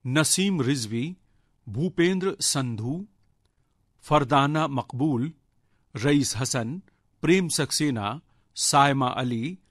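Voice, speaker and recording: A man making a formal scripted radio announcement, reading credits in a measured, carefully enunciated way. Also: mid-range voice, fairly bright tone, no room sound, clean studio sound.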